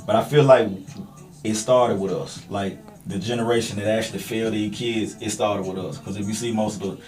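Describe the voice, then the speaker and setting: A man's voice: lowish voice, fairly even intonation, brisk, darkish tone, soft background music. A middle-aged American man hosting a casual podcast chat, working his way into each point by rephrasing it in slang.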